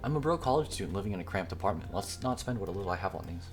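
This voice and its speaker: A man's voice: low voice, expressive, rapid-fire, faint music behind. A man reading a visual novel aloud as a gaming video creator, with casual commentary.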